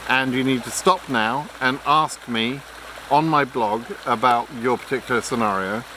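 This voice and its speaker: A man's voice: mid-range voice, background noise. A man giving blunt, frank advice in an unscripted explainer, lecturing with frequent ums and restarts. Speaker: immigration advice vlogger.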